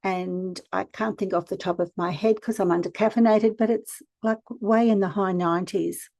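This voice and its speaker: Hoarse woman giving casual advice. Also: mid-range voice, steady tone, normal speed, darkish tone, no room sound, lo-fi sound. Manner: chatty, direct, practical advice. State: hoarse from a cold. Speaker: e-commerce consultant and channel host. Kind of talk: casual screen-share tutorial.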